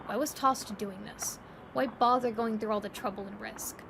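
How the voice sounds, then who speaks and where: A woman's voice: fairly high pitch, moderate pace, gravelly. A woman narrating a scripted story in the second person as the narrator of an audio drama. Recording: loud steady background ambience noise. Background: ambient noise.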